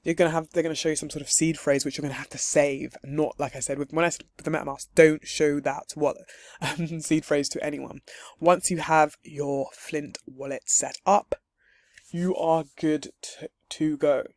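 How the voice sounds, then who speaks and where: A man with a hoarse, husky voice from losing it, giving a chatty, upbeat screen-share walkthrough of a crypto setup. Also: a little high, fairly even intonation, moderate pace, no echo. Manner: chatty and upbeat, with light laughs and frequent um's. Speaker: crypto tutorial creator.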